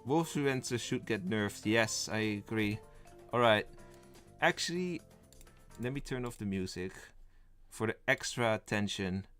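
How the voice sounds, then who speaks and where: A man's voice: on the low side, animated, unhurried, fairly bright tone, clear, resonant tone, faint music behind. A young man, a native Dutch speaker, streaming live in casual chat, rambling and thinking aloud as he reads the chat and lists game roles.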